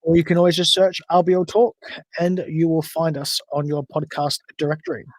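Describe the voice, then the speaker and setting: A man's voice: fairly high pitch, steady tone, a little fast, no room sound. An Australian man hosting a solo podcast livestream, chatting casually and rambling with frequent self-corrections. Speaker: podcast host.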